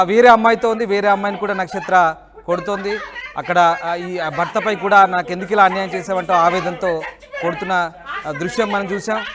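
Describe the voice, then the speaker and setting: Guy doing live tv news interview, pretty high voice, keeps pushing questions. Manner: insistent, repeating and rephrasing questions.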